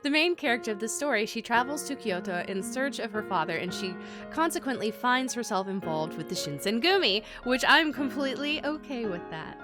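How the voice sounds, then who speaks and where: A woman livestreaming a visual novel, reading the text aloud and reacting to it in an expressive, giggly way. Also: a little high, brisk. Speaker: streamer playing a visual novel. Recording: music behind the voice. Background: game music.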